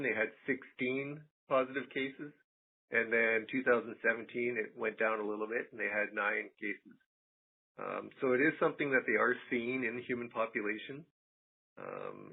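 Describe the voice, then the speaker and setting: A man gives an informal technical presentation on a conference call as an animal health presenter, measured and halting, with frequent pauses. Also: lowish voice, slightly rough. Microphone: telephone conference call. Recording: muffled, tinny phone conference line.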